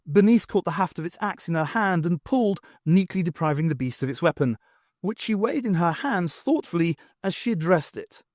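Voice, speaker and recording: A man narrates a novel expressively. His voice sits somewhat high and moves in lively swings of pitch. The recording is close and dry, with little room sound. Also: normal speed, no echo. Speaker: audiobook narrator. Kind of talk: expressive scripted fiction narration.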